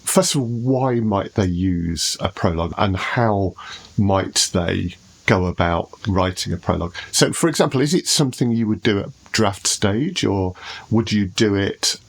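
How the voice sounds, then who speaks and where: A man's voice: fairly low pitch, animated, measured pace. A man hosting a conversational podcast and putting questions to his guests. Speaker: podcast host asking questions.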